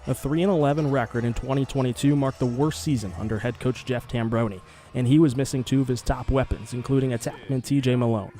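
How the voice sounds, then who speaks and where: Mellow-voiced male TV sports reporter. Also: mid-range voice, fairly even intonation, fast, soft background music. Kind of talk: scripted news package narration.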